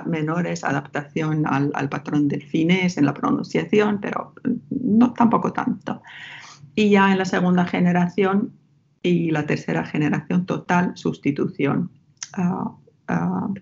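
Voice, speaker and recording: A woman's voice: deep voice, gravelly, darkish tone. A woman researcher from Finland with a Finnish accent, whose first language is Finnish, speaking thoughtfully in an academic interview with frequent restarts. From Finland, Ostrobothnia. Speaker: researcher guest. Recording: slightly lo-fi.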